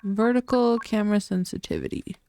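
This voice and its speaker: A woman's voice: mid-range voice, steady tone, brisk, vocal fry, dark tone. A woman streaming a video game, reacting aloud in casual, exclamatory commentary. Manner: exclamatory, talking back at the game. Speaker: live streamer.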